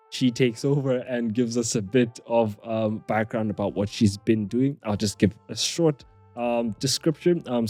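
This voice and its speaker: A man's voice: medium pitch, a little mellow, no echo. A man hosting an interview, talking in an earnest, meandering way with many hesitations. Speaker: podcast host.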